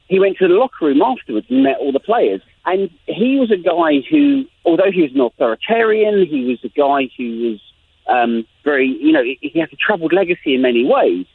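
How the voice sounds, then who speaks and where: A man's voice: fairly high pitch, vocal fry. A man with an English accent giving expert commentary as a journalist guest, thinking aloud with many restarts and fillers. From England. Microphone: phone line. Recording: tinny phone line with faint noise.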